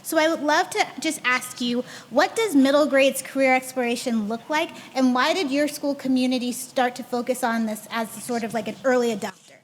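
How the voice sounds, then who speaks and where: Woman, panel moderator, high voice, upbeat, hall echo, faint room noise. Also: moderate pace, hardly any pauses. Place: conference hall.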